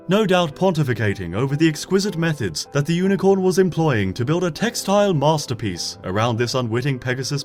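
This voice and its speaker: A man reading a story aloud in a soft, gentle narrating voice. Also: medium pitch. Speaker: fanfiction reader. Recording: faint music beneath the voice.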